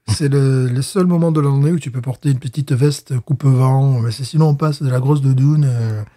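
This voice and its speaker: Mellow-voiced man chatting about beer. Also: mid-range voice, moderate pace. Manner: hesitant, many fillers and restarts. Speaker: podcast co-host. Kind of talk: casual beer-tasting chat.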